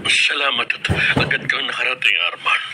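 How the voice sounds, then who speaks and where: A man's voice: mid-range voice, fairly even intonation, a little fast, over loud music, lightly acted. An actor playing an earnest, grave older father in a Filipino radio drama. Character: grave older father explaining a family secret. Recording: very noisy radio drama broadcast.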